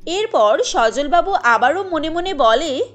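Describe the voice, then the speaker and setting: A woman's voice: high-pitched, animated, takes it slowly, faint music behind. A woman narrating a cartoon family tale in a scripted storybook style.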